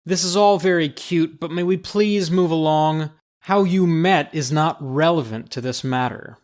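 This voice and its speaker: Male actor as prim, impatient attorney, slightly high voice, measured slow delivery, audio drama. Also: a little mellow, natural voice. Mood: irritated. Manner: formal, prim, precise. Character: stuffy, impatient male lawyer opposing a will.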